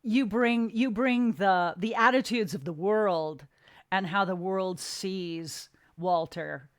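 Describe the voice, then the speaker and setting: A woman hosting a casual interview, putting questions and comments in an informal, halting way. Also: mid-range voice.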